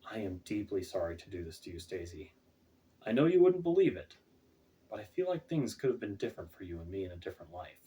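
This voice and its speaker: A man's voice: low-pitched, sing-song, quick pace, dark tone. A man voicing a regretful, conflicted demon swordsman in a storybook, apologetic and hesitant. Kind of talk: storybook character voice. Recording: distant, off-mic home recording.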